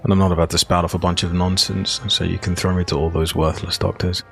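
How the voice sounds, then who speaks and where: A man's voice: deep voice, little pitch movement, quick pace, slight background noise. A man playing a dry, annoyed, world-weary drinker who grumbles curtly in an audio drama. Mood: annoyed, dismissive. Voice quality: dry, gravelly. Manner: grumbling, curt.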